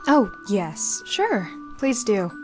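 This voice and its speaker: A woman's voice: mid-range voice, very animated, drawn-out words, over music. A woman playing a studious, serious young necromancer in a visual novel, speaking in a matter-of-fact, explanatory way. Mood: casual, reserved. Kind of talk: scripted visual novel acting.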